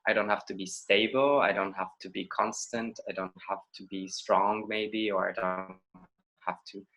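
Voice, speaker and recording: A man's voice: fairly low pitch, fairly even intonation, normal speed, sharp tone, soft background music. A man from Israel with an Israeli accent, a choreographer and dancer answering interview questions in an introspective way, searching for his words. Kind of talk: reflective interview talk.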